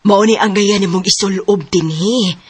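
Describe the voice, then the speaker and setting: A woman's voice: medium pitch, moderate pace, breathy edge, no room sound, close to an everyday voice. A woman playing a firm, insistent beerhouse manager in a radio drama, with a Cebuano Filipino accent.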